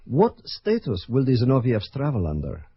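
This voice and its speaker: An actor playing a dutiful Soviet commissar captain in an old radio drama. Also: male voice, mid-range voice, huge pitch swings, normal speed, husky, no echo. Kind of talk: 1970s radio drama acting. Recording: dull old radio recording.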